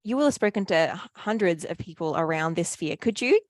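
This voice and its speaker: A woman's voice: lowish voice, expressive, fairly quick, no room sound. A woman hosting a podcast, chatty and hesitant, with many fillers and repeats. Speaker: podcast host. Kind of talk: casual interview chat.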